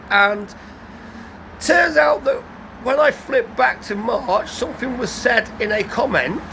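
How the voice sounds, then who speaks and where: A man, croaky from a cold, telling a rambling, guarded story to his vlog audience, full of restarts. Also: very high voice. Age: 50s. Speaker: vlogger. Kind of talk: casual story to camera. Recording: outdoor traffic noise behind.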